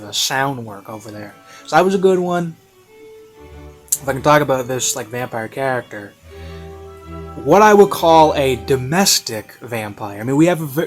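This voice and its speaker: Male online reviewer, mid-range voice, off-the-cuff and meandering with many ums and likes, over soft classical music. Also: mid-range voice. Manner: rambling, hesitant, filler-heavy. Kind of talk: casual unscripted review commentary.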